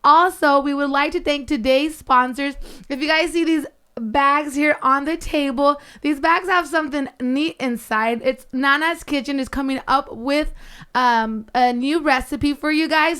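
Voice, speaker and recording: A woman's voice: sits high, a bit bright. A woman hosts a casual podcast. She sounds enthusiastic and chatty, and she drops Spanish words into her sentences. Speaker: podcast host.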